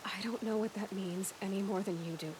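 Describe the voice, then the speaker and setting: Young woman huntress, acted, game dialogue, inquisitive, direct, restrained, determined, mid-pitched, noticeable rain and hiss behind. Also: fairly even intonation, fairly quick, darkish tone, close to an everyday voice, rough recording. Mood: subdued, concerned. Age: young adult. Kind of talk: video game dramatic dialogue.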